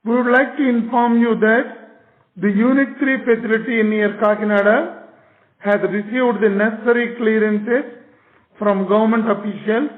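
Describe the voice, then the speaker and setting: A high-pitched man's voice with an Indian accent comes through a narrow, tinny phone line. He delivers formal prepared remarks as a company's managing director.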